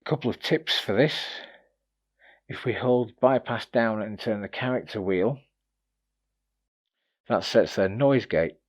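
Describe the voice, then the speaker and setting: Man, low deep voice, informal reviewer, casual explanatory narration, slightly hesitant delivery. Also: unhurried, pauses often. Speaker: gear reviewer presenting a demo.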